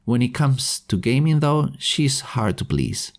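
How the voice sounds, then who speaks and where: A middle-aged man narrating a scripted devlog in a low-key, sincere manner. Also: expressive, measured pace, slight background noise. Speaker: indie game developer and devlog host. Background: background music.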